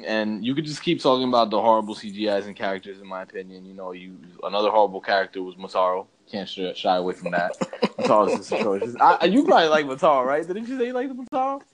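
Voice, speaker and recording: A man's voice: on the low side, lively intonation, quick pace. A man co-hosting a podcast in casual chat, ranting with emphatic, slangy, repetitive phrasing. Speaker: podcast co-host.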